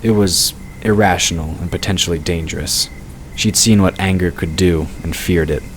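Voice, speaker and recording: Male narrator for scripted fantasy audio drama, deep register, slight background noise. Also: steady tone, normal speed, low-quality recording.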